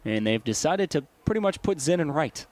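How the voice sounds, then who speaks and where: A male baseball play-by-play broadcaster with an American accent. His voice sits a little high for a man as he delivers live game commentary. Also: animated, brisk, a little mellow.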